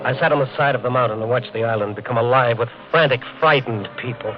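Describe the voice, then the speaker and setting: Casting: male actor as obsessed hard-boiled hotelier, mid-pitched, intense narrator of his own downfall, muffled 1950s radio sound. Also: quick pace, loud music behind the voice, close to an everyday voice. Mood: tense narrating. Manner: intense, hard-boiled first-person telling. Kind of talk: 1950 radio drama acting and narration. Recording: dull vintage 1950 radio recording.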